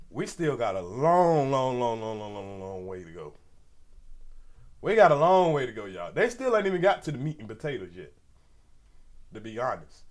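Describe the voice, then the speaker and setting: Stuffy-nosed man rambling to his viewers. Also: fairly high pitch. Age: 40s. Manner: loose, rambling, slangy, talks straight to viewers. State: stuffy nose. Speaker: commentary channel host. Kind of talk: casual livestream commentary.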